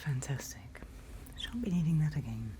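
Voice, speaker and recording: A woman whispering gently in an ASMR roleplay, guiding and reassuring the listener in an unhurried manner. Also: low voice, big pitch swings, noisy background. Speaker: ASMR roleplay performer playing a night watch guide.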